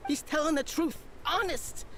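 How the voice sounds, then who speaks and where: A man's voice: extremely high pitch, normal speed, pushed and bright, a little hiss or hum. A man playing a shifty, cynical street smuggler in a video game, curt, edgy and easily rattled. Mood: nervous, resigned.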